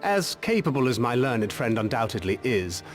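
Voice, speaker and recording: A man's voice: medium pitch, very animated, moderate pace, faint music behind, close to an everyday voice. A man playing a courteous, resolute adventurer in a fantasy game, speaking in formal, eloquent phrases.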